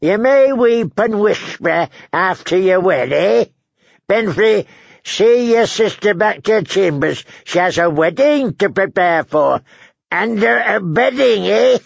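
A man's voice: high voice, lively intonation, slow pace, creaky, no echo, muffled recording, voice pushed up, heavily acted. A man voicing a querulous, leering, wrinkled old lord in an audiobook, drawing out his words and breaking them up with querulous grunts. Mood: bossy, leering. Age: elderly. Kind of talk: audiobook character acting.

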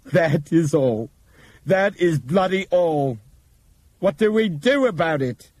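A man's voice: low-pitched, normal speed, warm tone, husky, dry recording. A man voicing an injured male co-pilot who talks in a dry, wry, pained way. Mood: incredulous.

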